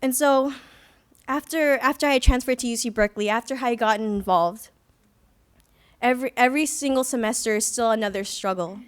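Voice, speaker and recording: Young woman speaker, smooth, higher voice; earnest first-person testimony with touches of wry humor. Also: a little fast. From Philippines. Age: young adult. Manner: earnest, reflective storytelling with light self-deprecating humor. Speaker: student activist speaker. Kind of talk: personal testimony speech at a conference. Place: conference hall.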